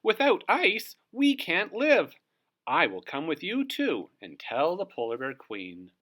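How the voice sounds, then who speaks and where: A performer voicing an excitable, easily alarmed puffin with a screechy, exclamatory delivery in a storybook read-aloud. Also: medium pitch, slow, heavily acted. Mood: screeching alarm.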